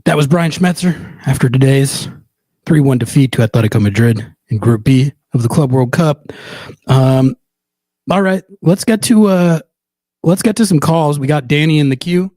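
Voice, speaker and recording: A man hosting a call-in show, talking to callers in a relaxed, conversational way. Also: on the high side, moderate pace, no echo. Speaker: host.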